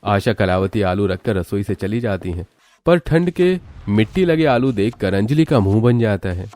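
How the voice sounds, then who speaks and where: A man narrates a cartoon tale in scripted storytelling style. His voice sits low, with a dark, mellow tone. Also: animated, moderate pace.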